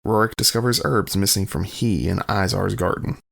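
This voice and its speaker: A man's voice: sits low, fairly even intonation, normal speed, vocal fry, fairly bright tone. A man reading scripted scene headings as the announcer of an audio drama.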